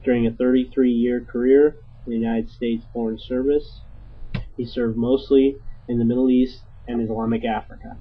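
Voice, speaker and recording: A man reading a scripted introduction for a recorded lecture in an even, measured way. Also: medium pitch, husky, highs cut off. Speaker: program announcer. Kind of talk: scripted introduction read aloud.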